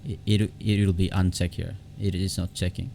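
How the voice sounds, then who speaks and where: A man hosting an unscripted software tutorial in a halting, repetitive way, often restarting his phrases. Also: low-pitched, little pitch movement, creaky, slight background noise. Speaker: tutorial host.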